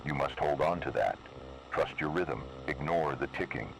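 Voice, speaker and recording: A man playing a grave, cryptic emergency announcer delivering deliberate analog horror warnings in a noisy radio-style broadcast. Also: a bass voice, expressive, gravelly, exaggerated voice. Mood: ominous, grave.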